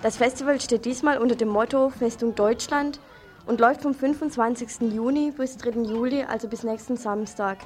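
A woman's voice: a little high, fairly quick, a little mellow, soft background music. A woman presenting local radio news in an informal style, addressing listeners directly.

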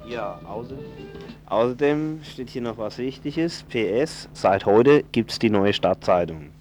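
A man's voice: mid-range voice, lively intonation, a little slow, darkish tone, soft background music. A man on community radio reading event listings in a loose, casual way, stumbling and correcting himself as he goes. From southwest Germany. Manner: informal, stumbling, self-correcting. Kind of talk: casual live radio announcements.

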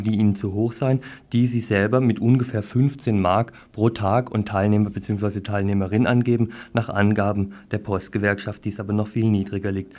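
A man's voice: lowish voice, mellow. A man presenting a radio news magazine in a partly informal style, introducing and reporting as the host.

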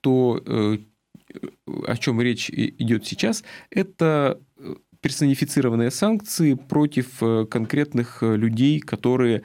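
A man from Russia delivering political commentary in an earnest, explanatory way, with a halting delivery and frequent pauses. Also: mid-range voice, expressive, mellow. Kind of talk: spoken political commentary, semi-prepared talk.

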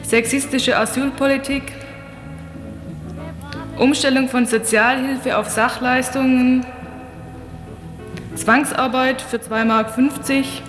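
A woman with a high voice reads a scripted political report. The recording is very echoey with a long reverb tail, and music plays clearly behind her. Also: fairly even intonation, takes it slowly, pauses often, a bit bright. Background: prominent music.

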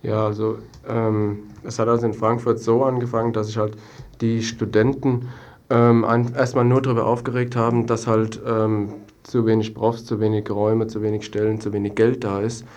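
A man's voice: on the low side, little pitch movement, moderate pace, a little mellow. A young man giving spontaneous interview answers as a student activist, hesitating and drawing out his phrases. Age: young adult.

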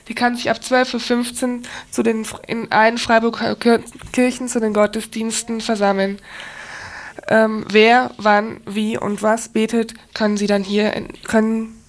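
A woman presenting on local radio, reading announcements and listeners' letters aloud in a measured way. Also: fairly high pitch.

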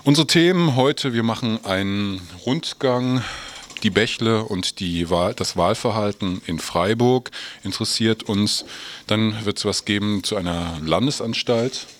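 A man's voice: on the low side, measured pace. A man hosting a radio show informally, with an ironic, tongue-in-cheek manner.

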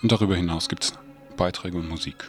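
A man with a fairly low voice presents a radio magazine. He speaks as a studio host introducing the show, with music clearly playing behind him. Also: quick pace. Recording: studio voice over music bed.

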